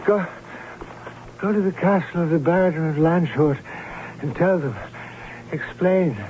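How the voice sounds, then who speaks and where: High-voiced, florid young nobleman played by a man. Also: measured pace, darkish tone, close to an everyday voice. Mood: dying. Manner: florid, theatrical. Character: exuberant young German nobleman, boastful romantic bridegroom-to-be. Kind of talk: old-time radio drama acting. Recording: muffled, very noisy old radio broadcast.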